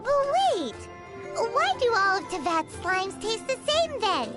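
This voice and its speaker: Tiny excitable girl sidekick, extremely high squeaky voice, swooping intonation, exclamatory, video game style, music underneath. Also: measured pace, full character voice. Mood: curious, cheeky. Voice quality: squeaky, childlike. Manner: speaks of herself in third person, exclamatory. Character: tiny childlike floating girl companion, gluttonous, excitable. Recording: music behind the voice.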